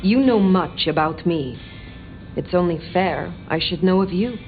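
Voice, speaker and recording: A woman's voice: on the low side, expressive, measured pace, narrow, tinny audio. A woman playing a proud, worldly ancient vampire in video game dialogue, composed, formal and aloof. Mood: cool authority. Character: proud, worldly, imperious ancient female vampire, cool and commanding. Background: clear background music.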